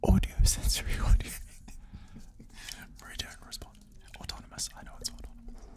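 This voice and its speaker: A young man whispering playful ASMR as a guest, close to a studio microphone. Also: moderate pace. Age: young adult. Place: small indoor studio set.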